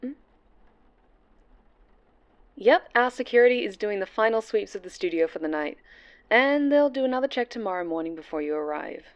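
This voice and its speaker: A woman's voice: medium pitch, animated, moderate pace, pauses often, lo-fi sound, close to an everyday voice. A woman playing a cheerful, polished interview producer in an audio roleplay, bubbly and reassuring. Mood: friendly, reassuring.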